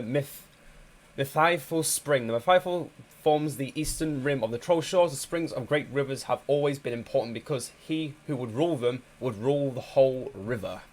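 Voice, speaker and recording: A man's voice: medium pitch, normal speed. A man with a slight cold, casually commentating a strategy game with plenty of hesitations and restarts. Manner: hesitant, many ums and restarts. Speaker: gameplay commentator.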